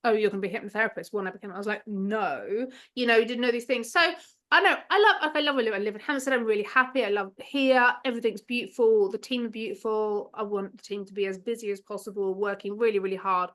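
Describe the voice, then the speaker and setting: A woman, a hypnotherapist chatting as a podcast guest, laughing often and trailing off into softer asides. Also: mid-range voice, fast talker. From England. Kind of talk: casual interview chat.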